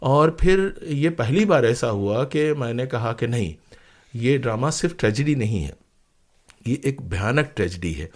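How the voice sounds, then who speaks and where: A man's voice: medium pitch, expressive, measured pace, darkish tone. A man speaking as an interview guest, telling stories reflectively and earnestly, with emphatic repeated phrases and rhetorical questions. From India. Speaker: interview guest, playwright and former radio broadcaster.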